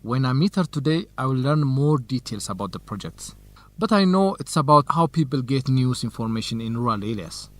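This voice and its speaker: Man playing an eager student, lively pitch. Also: a little fast, dry recording, natural voice. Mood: eager, earnest. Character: eager young male journalism student, excited and nervous. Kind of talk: educational radio drama dialogue, simple clear English.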